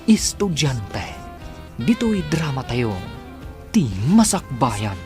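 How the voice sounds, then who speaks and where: A man's voice: medium pitch, big pitch swings, slow pace, music in the background. A man narrating and announcing a radio drama in a scripted, dramatic style.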